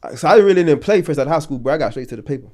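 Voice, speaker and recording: A man's voice: on the high side, lively intonation, very fast, a little mellow. A man in his late thirties from North Florida, a podcast guest telling long stories in a loose, slangy, rambling way. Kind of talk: casual storytelling interview.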